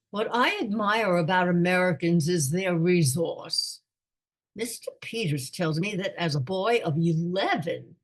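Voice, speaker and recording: A woman playing a doddering, absent-minded elderly lord in a radio comedy, with a pompous, rambling manner. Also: fairly low pitch. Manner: pompous, rambling aristocratic musing. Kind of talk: radio play comedy acting.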